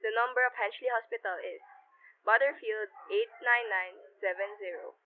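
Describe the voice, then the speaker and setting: A woman playing a brisk, formal and detached switchboard clerk in an old-time radio drama, heard through a telephone filter. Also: mid-range voice, very noisy. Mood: polite, detached. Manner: formal, detached, businesslike politeness. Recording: tinny, narrow phone-line sound.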